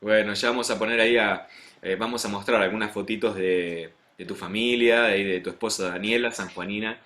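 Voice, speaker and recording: A man's voice: on the low side, fairly even intonation, a little fast, fairly bright tone. A man with an Argentine accent hosting an interview program as a pastor, greeting viewers in a friendly way and questioning his guest. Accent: Argentine Spanish. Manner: friendly, addresses viewers directly.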